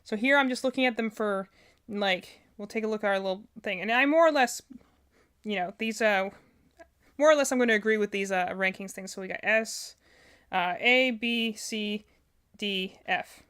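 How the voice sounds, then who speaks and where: A woman's voice: mid-range voice, moderate pace, fairly bright tone, smooth. A woman hosting a livestream in a casual, rambling way, thinking aloud and often correcting herself as she goes. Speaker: livestream host.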